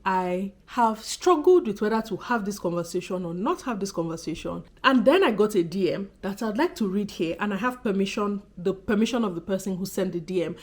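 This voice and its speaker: A woman in her thirties speaks with a Nigerian accent that has a Benin lilt underneath. She talks to her viewers in a casual, expressive way, quick in delivery. Her pitch sits in a middle range for a woman. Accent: Nigerian, Benin City undertone. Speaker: YouTuber. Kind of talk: casual talking-to-camera vlog.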